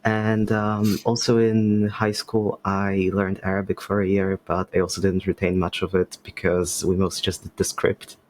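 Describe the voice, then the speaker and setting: A man's voice: deep voice, monotone, moderate pace, hardly any pauses, a little mellow, low-quality recording. A man in his twenties from Poland, a native Polish speaker, chatting as a podcast guest over a video call with a hesitant, umming way of talking. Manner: hesitant, with many ums and restarts. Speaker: podcast guest, writer and game master. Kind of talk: casual podcast chat.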